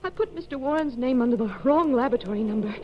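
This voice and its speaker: A woman acting a distraught young clinic girl in a 1950s radio play. Her voice sits fairly high and comes out halting, pleading and full of guilt. The recording is dull and faintly noisy. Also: animated, close to an everyday voice. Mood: shaken, confessing. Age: young adult.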